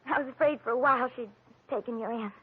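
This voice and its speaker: A woman acting a devoted, girlish American secretary in an old radio drama. She is high-pitched, earnest and fretful. The recording is dull and faintly hissy. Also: fast, lightly acted.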